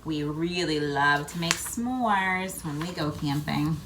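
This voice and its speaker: A woman reading a picture book aloud to children, with expressive emphasis and chatty asides addressed to the listeners. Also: sits low, slow pace, background noise. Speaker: read-aloud host for children.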